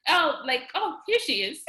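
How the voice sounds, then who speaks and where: A woman's voice: high voice, big pitch swings, moderate pace, pushed and bright. Chatty Haitian-accented woman, roomy sound. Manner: chatty, laughs often, many fillers and restarts. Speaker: guest, registered nurse and business owner. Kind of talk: casual interview chat. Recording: roomy echo.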